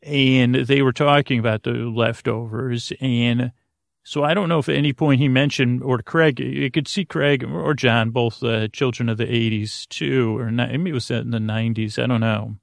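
A middle-aged man delivers a meandering bedtime monologue as a podcast host, halting often and looping back on himself. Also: medium pitch, fairly even intonation, gravelly, no room sound, studio quality. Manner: hesitant, halting, trails off and loops back.

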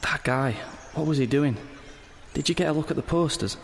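A man's voice: a little high, normal speed, slight background noise, natural voice. An actor playing a frank, exasperated teenage boy in an audio drama, blunt and sardonic. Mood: urgent. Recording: long reverb, faint outdoor ambience.

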